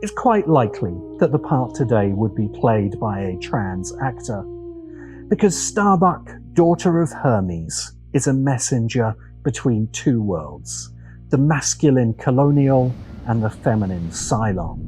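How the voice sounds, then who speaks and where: A man delivers scripted commentary in the manner of a video essay host. His pitch moves around a great deal as he sets phrases apart with deliberate, dramatic pauses. Music plays clearly behind him. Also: big pitch swings, low-quality recording. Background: background music.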